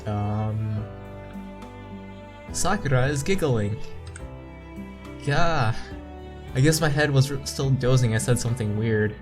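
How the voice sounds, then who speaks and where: A man doing a game playthrough, reading visual novel text aloud haltingly and adding his own asides. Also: medium pitch. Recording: game music behind the voice. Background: game music.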